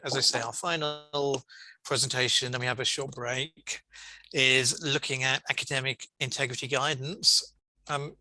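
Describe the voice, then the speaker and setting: A man's voice: mid-range voice, bright, forceful tone, no echo, poor audio. A man hosting an online conference session, giving encouraging, rambling feedback in an informal way. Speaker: conference host and session chair. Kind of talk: informal chairing and feedback over video call. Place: online video call.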